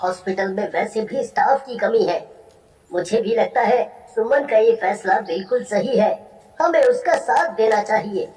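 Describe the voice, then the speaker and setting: A woman's voice: medium pitch, lively intonation, measured pace, darkish tone, lightly acted. A woman voicing an anxious, devoted young husband in a cartoon story, earnest and pleading, in an echoey, distant recording.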